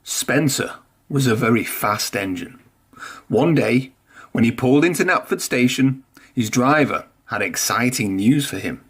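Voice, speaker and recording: A man reads a children's storybook aloud. His pitch moves up and down in a lively way, his pace is unhurried, and his voice has a slightly husky grain. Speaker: storybook reader. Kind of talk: expressive read-aloud children's story narration.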